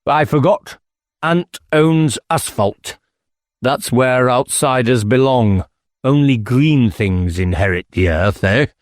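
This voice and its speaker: A man's voice: medium pitch, slow, no room sound, studio quality, pitched above the performer's own voice, natural voice. Man acting a sly, swooping village squire. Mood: cryptic, sly. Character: eccentric village squire, sly, disdainful of outsiders. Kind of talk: audiobook character acting.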